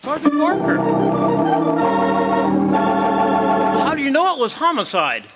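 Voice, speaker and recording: A man playing an excitable, friendly party guest in an amateur radio mystery, with an exclamatory, animated delivery. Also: very high voice, fast, loud music behind the voice, exaggerated voice. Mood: curious. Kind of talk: amateur old-time radio acting.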